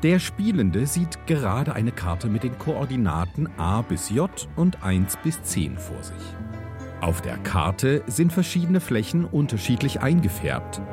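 A man's voice: medium pitch, very animated, a little slow. A man reading a scripted explanatory announcement as narrator. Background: music.

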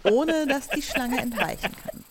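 A woman's voice: sits high, expressive, measured pace, noisy background. A woman playing a commanding pirate captain in an improvised audio play, speaking in ceremonious, old-fashioned phrases. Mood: solemn, commanding. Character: commanding female pirate captain addressing her crew.

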